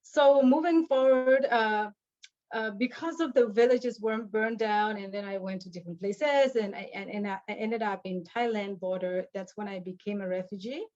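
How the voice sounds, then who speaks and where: Woman from on a video call, earnest and halting, lots of restarts, kinda muffled dull audio. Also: mid-range voice, a little mellow. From Burma. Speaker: guest speaker. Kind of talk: personal story at an online panel.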